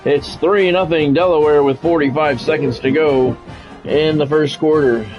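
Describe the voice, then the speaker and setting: Male game streamer, lightly higher voice, polished clipped play-by-play with casual asides, music in the background. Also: steady tone, normal speed, lo-fi sound. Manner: practised radio-style play-by-play, clipped phrases. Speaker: streamer doing play-by-play of a football video game. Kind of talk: sports commentary mixed with casual chat.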